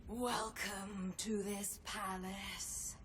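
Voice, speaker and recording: An actress playing a proud, elegant swordswoman, eldest of an order of embroiderers, in a video game, with ornate, theatrical, deliberate phrasing. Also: mid-range voice, flat delivery, background noise. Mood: haughty, melancholy. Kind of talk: dramatic video game voice acting.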